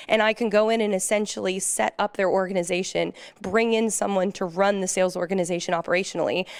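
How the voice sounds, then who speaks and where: A woman's voice: mid-range voice, clear, resonant tone, dry recording. An American-accented woman explaining her executive role on a panel in an articulate, businesslike way. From United States. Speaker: panelist, fractional chief revenue officer.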